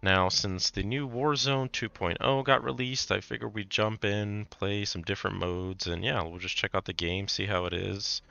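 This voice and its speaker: A man hosting casual gameplay commentary, raspy and hoarse from a cold, laid-back with startled outbursts. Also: fairly low pitch, fairly even intonation, moderate pace, few pauses, bright, forceful tone, highs cut off. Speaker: gaming channel host.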